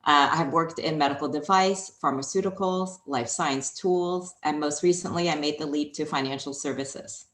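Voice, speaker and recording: A woman from Hong Kong whose first language is Chinese, answering interview questions in a measured, composed way. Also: low-pitched, reverberant. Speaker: interview guest, HR executive.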